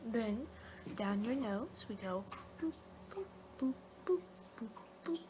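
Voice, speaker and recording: A woman's voice: fairly high pitch, expressive, takes it slowly. Rambling woman doing whispery ASMR roleplay. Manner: rambling, playful, often losing her train of thought. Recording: clear background noise.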